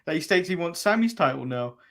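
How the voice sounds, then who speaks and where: A man's voice: a little high, animated, a little fast, fairly bright tone. A man co-hosting a casual wrestling recap show, laughing often as he runs through events with dry jokes. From England. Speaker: podcast co-host.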